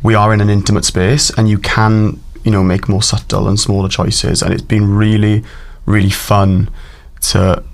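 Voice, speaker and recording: A man's voice: fairly low pitch, little pitch movement, normal speed, vocal fry, few pauses. A man, an actor, talking about his work as a guest in a casual radio interview, thoughtful and modest with some hesitant restarts.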